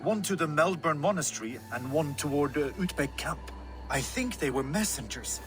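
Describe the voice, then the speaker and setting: Man as plain-spoken, fairly high-voiced guard. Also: lively intonation, moderate pace, over music, close to an everyday voice. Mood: matter-of-fact. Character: matter-of-fact male Viking town guard giving a report. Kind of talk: game voice acting.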